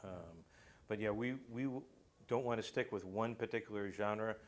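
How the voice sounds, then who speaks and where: A man with a fairly low voice talks casually as a guest who makes a radio show. He speaks haltingly, often restarting mid-phrase as he explains. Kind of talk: casual talk-show conversation.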